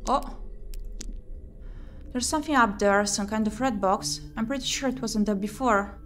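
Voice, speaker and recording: Expressive woman reading a game aloud over music. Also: fairly high pitch, normal speed. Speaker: vtuber streamer playing a visual novel. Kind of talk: game playthrough, reading story text aloud with asides. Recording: music behind the voice.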